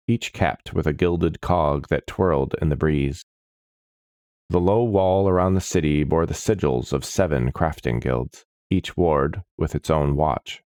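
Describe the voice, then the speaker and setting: A man reading scripted fantasy narration in a measured, atmospheric storytelling manner. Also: low-pitched, a little mellow, no echo, studio quality.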